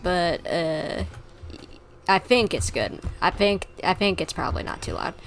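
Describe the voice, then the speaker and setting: A woman chatting casually on a gaming livestream, rambling along with animated griping. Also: lowish voice, unhurried, soft background music. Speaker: streamer.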